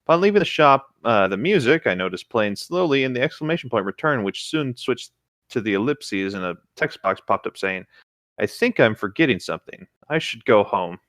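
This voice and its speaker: A man's voice: medium pitch, lively intonation, brisk, fairly bright tone, no room sound, studio quality. A man co-hosting a casual read-along of internet horror stories, with light joking comments between lines. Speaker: co-host reading internet horror stories aloud.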